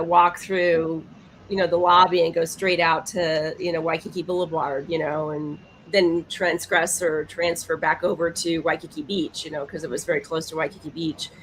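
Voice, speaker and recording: A woman with a slightly low voice chats casually on a podcast panel. She is emphatic and circles back over her phrases. Faint noise sits behind her. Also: poor audio. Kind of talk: casual chat.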